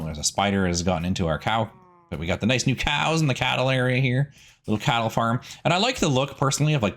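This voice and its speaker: Male gaming host: deeper-set voice, very animated pitch, casual, rambling, easygoing commentary.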